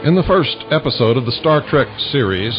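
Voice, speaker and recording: A man's voice: mid-range voice, animated, over music. Lo-fi shortwave American man, portentous radio host. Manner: slow, deliberate delivery with dramatic pauses; portentous, preaching to listeners. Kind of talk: talk radio monologue. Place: radio broadcast. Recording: dull lo-fi 1990s shortwave radio recording.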